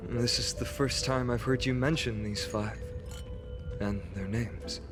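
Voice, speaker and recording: An actor voicing a curious, gentle companion in a scripted video game dialogue. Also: male voice, mid-range voice, fairly even intonation, measured pace, over music, lightly acted. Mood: curious, attentive.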